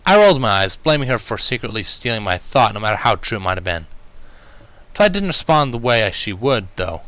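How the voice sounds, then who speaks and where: A man reading fan fiction aloud as an expressive first-person story narrator. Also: fairly low pitch, brisk, no room sound.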